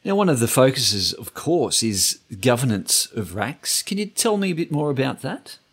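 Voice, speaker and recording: A man's voice: mid-range voice, very animated, moderate pace, fairly bright tone, breathy edge. A man interviewing a guest, asking brief, polite questions.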